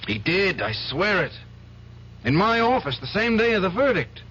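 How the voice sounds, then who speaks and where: An actor playing a troubled young defense lawyer in a radio drama, hesitant and stammering, emotionally charged. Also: male voice, high voice, sing-song, normal speed, sharp tone, exaggerated voice. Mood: agitated. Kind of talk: 1970s radio drama acting. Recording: dull old radio broadcast, faint hiss.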